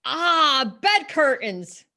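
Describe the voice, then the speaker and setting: Woman acting brazen, high-voiced Victorian charwoman. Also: sing-song, extremely slow, bright, forceful tone, heavily acted. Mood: unashamed. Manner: brazen, scornful, emphatic. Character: bold, defiant, unrepentant Victorian charwoman selling stolen goods. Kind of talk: dramatic reading of a classic story.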